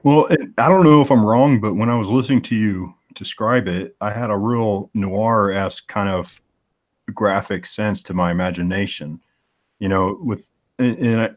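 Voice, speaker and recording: A man in his forties, a comic artist, chatting casually and thinking aloud as he searches for the right words. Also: lowish voice. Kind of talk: casual chat on a live stream.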